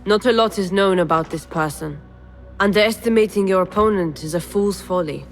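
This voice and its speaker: Woman acting as secret-order mentor, mid-pitched, stern, composed, formal, game cutscene, soft music behind. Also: a little fast, close to an everyday voice. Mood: composed, cautioning.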